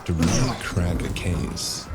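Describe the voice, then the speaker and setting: Man acting hardboiled cyberpunk narrator, bottomless gravelly voice, deliberate, wry, loud music behind. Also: deep voice. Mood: wry, menacing. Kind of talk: hardboiled audio drama narration.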